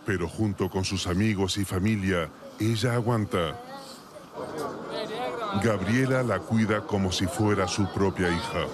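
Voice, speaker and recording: A man reading a scripted news report in a measured, formal way. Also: sits low, crowd noise.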